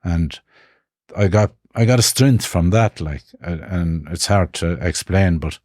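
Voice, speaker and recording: Irish man in his fifties, very deep mellow voice, reflective guest, dry close sound. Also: no room sound. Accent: Irish, Connemara. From Ireland. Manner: reflective, halting, with frequent restarts. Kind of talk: personal life story in conversation.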